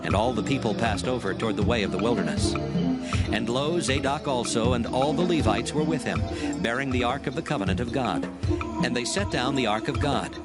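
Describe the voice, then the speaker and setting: A man narrating scripture in a solemn, measured storytelling style, as in a dramatized audiobook. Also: medium pitch. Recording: loud music behind the voice. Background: music.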